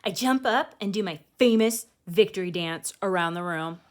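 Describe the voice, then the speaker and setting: A woman's voice: medium pitch, normal speed. A woman reading a picture book aloud like a teacher, expressively, with casual asides explaining feelings to young listeners.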